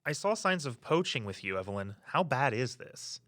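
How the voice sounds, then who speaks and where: An actor playing an upbeat, supportive member of a walking tour team in an audio drama, encouraging and practical. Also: male voice, mid-range voice, big pitch swings, normal speed, fairly bright tone, dry recording, studio quality. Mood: concerned.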